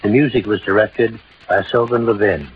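A man, a courtly, measured 1940s radio theatre host speaking formally. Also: on the low side, fairly even intonation, a little hiss or hum. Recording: muffled vintage radio recording, music behind.

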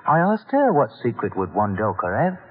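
An actor playing an amiable, mercenary professor in an old radio drama, wry and mock-sentimental, with a husky voice. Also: male voice, mid-range voice, wildly swooping pitch, normal speed. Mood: wry, sly. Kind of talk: 1940s-50s radio acting. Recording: tinny, reverberant old radio recording.

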